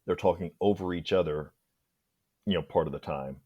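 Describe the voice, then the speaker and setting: A man with a low, slightly rough voice appears as a podcast guest. He chats casually about a film, conversational and emphatic in his reactions. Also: normal speed, mellow, no room sound, muffled recording. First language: English.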